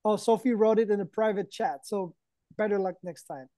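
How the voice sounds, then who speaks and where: A woman's voice: medium pitch, a little fast, mellow, no echo, clean studio sound. A woman speaking casually as she helps run an online event over a video call. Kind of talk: casual chat.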